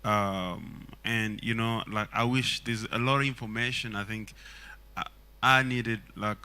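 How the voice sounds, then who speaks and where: Earnest bright-voiced man from Congo. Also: lowish voice, steady tone, a little slow, clean tone. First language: Swahili. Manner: earnest, reflective, many fillers. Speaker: songwriter. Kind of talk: casual panel talk.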